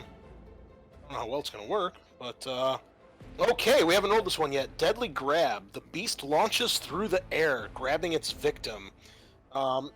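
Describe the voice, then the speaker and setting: A man acting as game master, narrating a tabletop roleplaying session in a halting, improvised way with many fillers. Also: fairly high pitch, fairly bright tone.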